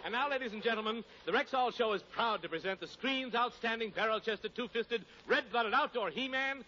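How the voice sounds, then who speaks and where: A man's voice: very high voice, brisk, music in the background. A man working as a 1940s radio announcer and straight man, polished and theatrical in his scripted delivery. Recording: very noisy, muffled old radio recording.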